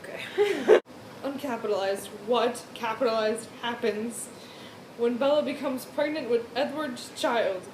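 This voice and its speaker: A woman's voice: on the high side, measured pace. A woman chatting casually and wryly as a co-host, chipping in with amused comments. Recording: echoey room with clear background noise.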